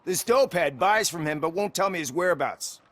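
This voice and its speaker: Game role: no-nonsense streetwise guy, high voice, insistent delivery, close dry sound. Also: lively intonation, a little fast, fairly bright tone, no room sound. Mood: matter-of-fact.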